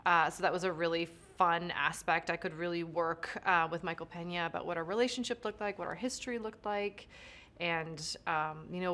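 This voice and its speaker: A woman with a fairly low voice, talking in an easy, chatty way during an interview. She fills her sentences with small fillers and quick asides. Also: a bit bright. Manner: chatty, many fillers and likes. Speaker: actor. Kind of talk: casual junket interview.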